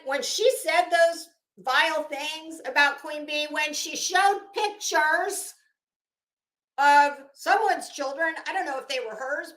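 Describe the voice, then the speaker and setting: High-pitched woman ranting slowly in a roomy space. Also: fairly bright tone. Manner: blunt, profane, emphatic, halting with drawn-out words. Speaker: online commentary host. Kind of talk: casual rant commentary addressed to viewers. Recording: roomy echo.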